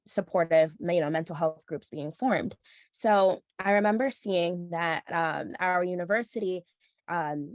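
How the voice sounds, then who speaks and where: A young woman with a stuffy, nasal voice from a cold, pitched a little low for a woman. She speaks earnestly with plenty of ums and likes, and the sound is close and dry. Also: no room sound. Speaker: panelist, social news reporter. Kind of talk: panel discussion answer.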